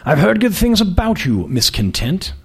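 A man reading the part of a haughty, commanding magazine editor with an aristocratic accent, speaking slowly and clipped. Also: high voice, very animated, slightly rough, pitched above the performer's own voice, full character voice. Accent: upper-class East Coast with British touch.

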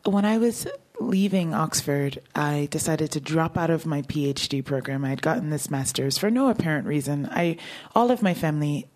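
A woman's voice: low voice, lively intonation, moderate pace, hardly any pauses, darkish tone. A woman novelist talking casually about her writing and answering audience questions, laughing often. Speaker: novelist at a book talk. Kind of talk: conversational talk and audience Q&A.

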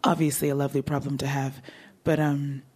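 Low-voiced woman author, chatty and laughing. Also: level tone, brisk, darkish tone, breathy edge. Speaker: novelist at a book talk. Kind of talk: conversational talk and audience Q&A.

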